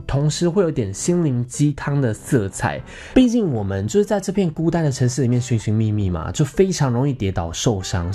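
A man's voice: mid-range voice, mellow. A man in his thirties with a Taiwanese Mandarin accent, hosting a podcast in a theatrical, gossipy, chatty style with bits of English. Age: 30s. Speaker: podcast host. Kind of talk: casual chatty monologue to listeners.

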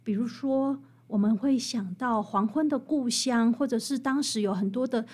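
A woman's voice: fairly high pitch, moderate pace, darkish tone. A woman with a Taiwanese Mandarin accent explaining things conversationally as an interview guest, animatedly walking through examples. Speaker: interview guest, author and music researcher.

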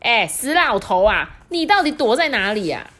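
Shrill, loud, jealous old goddess scolding her husband, big swings up and down, in a storybook voiced by a woman. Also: sing-song, moderate pace. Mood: furious.

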